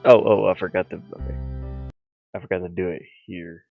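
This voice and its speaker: A man thinking aloud in a halting mutter as he gives casual commentary on his hobby game development. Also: sits low, steady tone, gravelly. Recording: dull lo-fi audio, faint music. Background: faint music.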